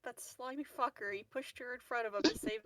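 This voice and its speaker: A woman's voice: on the high side, fairly quick, fairly bright tone, dry recording, recorded from a distance. A woman playing a tabletop game who talks brashly and swears freely. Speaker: player. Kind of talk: casual chat at the game table.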